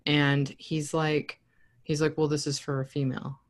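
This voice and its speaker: An American woman with a deeper voice, co-hosting a podcast in casual, emphatic chat, swearing freely. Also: little pitch movement, normal speed. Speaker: podcast co-host.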